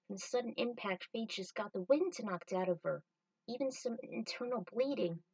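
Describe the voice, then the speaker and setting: A woman's voice: a little high, expressive, normal speed, gravelly, darkish tone, no echo, distant mic, rough recording. A woman storyteller narrating a piece of fan fiction.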